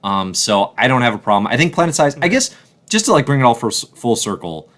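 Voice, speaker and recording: A man's voice: medium pitch, big pitch swings, fast talker, fairly bright tone. A man hosting a live show, chatting and commenting casually in an enthusiastic, emphatic way.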